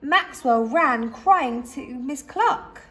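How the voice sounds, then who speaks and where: A woman reading a children's story aloud expressively, like a teacher, with dramatic pauses and emphasis. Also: high voice, lively intonation, a bit bright.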